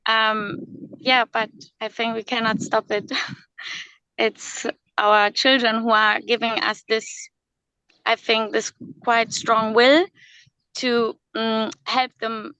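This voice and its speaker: A woman's voice: a little high, sharp tone, clean tone, no room sound, dull audio. A woman in her thirties, born in Slovakia and raised in Germany, speaking English as an on a video call, hesitant and laughing often. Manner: non-native phrasing, hesitant, laughs often. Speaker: guest, worldschooling mother. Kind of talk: casual video-call chat.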